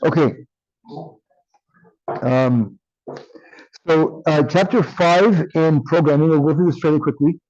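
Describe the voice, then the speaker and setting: A man teaching an online class with a tired, strained voice, often checking in with "right?" and filling pauses with um. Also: a little high, a little mellow, dry recording, highs cut off. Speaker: instructor.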